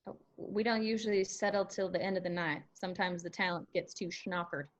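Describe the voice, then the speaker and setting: A woman playing a quick-witted, plain-spoken young bartender in a screenplay table read, casual and wry. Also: on the low side, fairly even intonation, muffled recording, close to an everyday voice. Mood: flustered.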